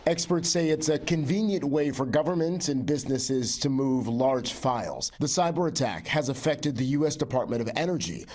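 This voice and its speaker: A male news correspondent delivering a measured, informative report. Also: mid-range voice, expressive, a little mellow.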